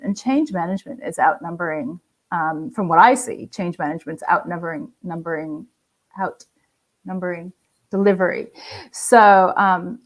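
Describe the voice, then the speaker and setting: A woman who works in change management, answering interview questions in measured, step-by-step explanations over a video call. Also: fairly low pitch. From not from Australia. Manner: measured, walks through history step by step.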